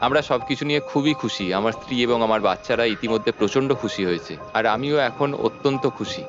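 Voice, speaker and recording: A man's voice: medium pitch, fast, natural voice. A man dubbing a humble village father giving testimony in a documentary. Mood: grateful. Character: humble village father of a large poor family. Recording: muffled audio under music. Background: music.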